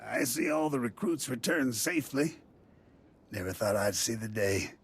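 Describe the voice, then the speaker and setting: An actor playing a dour, seasoned desert militia leader in a video game, commanding and plainspoken. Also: male voice, medium pitch, animated, moderate pace, slightly rough. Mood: gruff approval.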